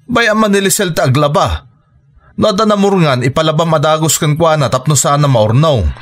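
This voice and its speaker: Lalaki a mangiyak-akem iti managtanabutob nga asawa. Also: male voice, fairly high pitch, animated, moderate pace, lightly acted. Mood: complaining, scolding. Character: grumbling, stingy husband, stern and domineering. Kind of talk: Ilocano radio drama acting.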